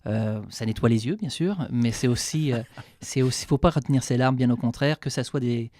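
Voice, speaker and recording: A man with a French accent from France speaks as a radio guest, frank and personal, often stopping and restarting his sentences. His voice sits at a middle pitch. The studio sound is dry and close. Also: moderate pace, warm tone, no room sound. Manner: candid, hesitant with frequent restarts. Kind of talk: radio interview answers.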